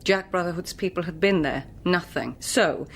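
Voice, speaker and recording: Terse, lowish-voiced guarded woman. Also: moderate pace, gravelly, breathy edge. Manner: terse, cool, incredulous. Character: guarded, sceptical English spy's wife and mother. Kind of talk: radio drama acting.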